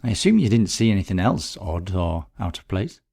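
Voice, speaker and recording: A man's voice: sits low, very animated, brisk, vocal fry, darkish tone, exaggerated voice. A man voicing a genteel, shrewd lady detective in a mystery story, polite, thoughtful and probing.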